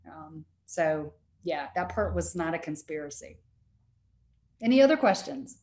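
A middle-aged woman with a loud, projecting voice, lecturing casually over slides on a video call from home. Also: low-pitched, animated, measured pace, echoey room. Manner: casual chatty teaching with fillers. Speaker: university lecturer. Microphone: laptop mic on video call.

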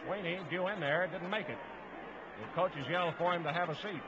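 Man, high voice, 1950s football play-by-play, staccato phrases, muffled old radio sound, noisy. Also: a little fast. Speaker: play-by-play sports announcer. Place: stadium broadcast booth.

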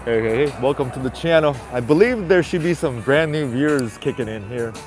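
Stuffy-nosed male vlogger, chatty and relaxed, speaking into a handheld phone on a busy night street with faint music. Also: medium pitch, a little fast. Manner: chatty, repeats greetings, talks to viewers. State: stuffy nose. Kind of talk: casual live-stream walking commentary. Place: city sidewalk outdoors at night. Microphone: handheld phone camera.

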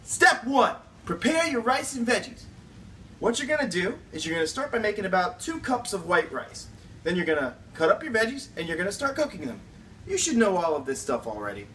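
A young American man hosts a homemade cooking video with very animated pitch. He talks in a casual, slangy, playful way as he explains the steps. There is faint background noise in the recording. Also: brisk. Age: young adult. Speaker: cooking show host. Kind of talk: casual recipe instruction. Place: home kitchen and grocery store, indoors.

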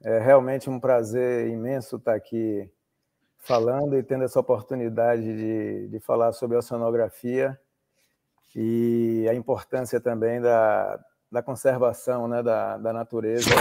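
Entrevistado baiano de uns cinquenta anos, voz grave rouca, narra com calma e hesitação. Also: male voice, medium pitch, measured pace, creaky. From Salvador, Bahia, Brazil. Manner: hesitant, repeats words while recalling. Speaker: interviewee, oceanographer. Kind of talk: relaxed storytelling interview. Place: home room with shelves. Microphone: video call.

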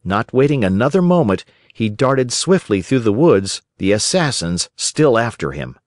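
Expressive male storyteller, lively intonation. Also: mid-range voice, normal speed, no echo. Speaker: audiobook narrator. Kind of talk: scripted children's story narration.

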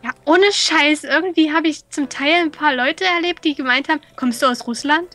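A woman's voice: high voice, moderate pace, a bit bright, faint music behind. A young woman chatting playfully and teasingly as co-host of a gaming video. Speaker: Let's Play co-host. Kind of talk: casual joking gameplay chat.

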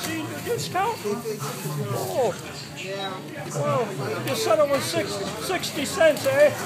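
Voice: sits high, lively intonation, slow pace. A hesitant customer explaining apologetically at a grocery checkout, repeating words in a stammer. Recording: loud music and crowd noise.

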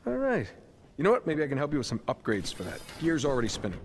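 Cocky, teasing inventor hero in a game; wildly varied pitch, reverberant room. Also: male voice, huge pitch swings, quick pace, a little hiss or hum. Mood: encouraging. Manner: breezy, teasing. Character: cocky, playful male inventor superhero, encouraging mentor. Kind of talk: video game cutscene acting.

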